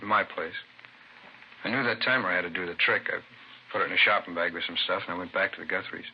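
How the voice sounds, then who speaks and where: A man with an American accent playing a weary, aggrieved killer confessing to police in a halting mumble, in the style of 1950s radio crime drama. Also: sits low, fairly even intonation. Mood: flat, weary. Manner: halting, mumbling, self-justifying. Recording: muffled, hissy vintage radio broadcast recording.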